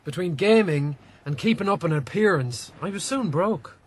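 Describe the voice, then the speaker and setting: An actor playing a proud, excitable young gentleman adventurer in a radio drama. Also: high-pitched, moderate pace, slightly rough. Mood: eager. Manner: haughty, excitable.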